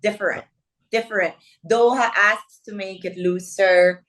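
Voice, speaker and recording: A woman's voice: mid-range voice, fairly even intonation, a little slow, fairly bright tone. A woman, a fashion designer from the Philippines, talking casually in an interview. She tells her stories vividly, acts out quoted dialogue and often checks in with "okay?" and "right?".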